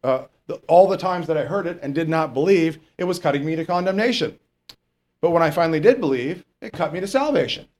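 A man with a lower voice, a pastor teaching verse by verse in a conversational way and reading scripture slowly and with emphasis. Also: animated, a little mellow. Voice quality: lower voice, not strong. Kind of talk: verse-by-verse Bible teaching sermon.

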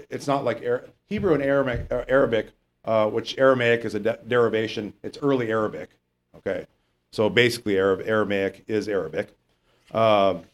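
A man with a lower voice, a pastor teaching verse by verse in a conversational way and reading scripture slowly and with emphasis. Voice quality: lower voice, not strong. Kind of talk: verse-by-verse Bible teaching sermon.

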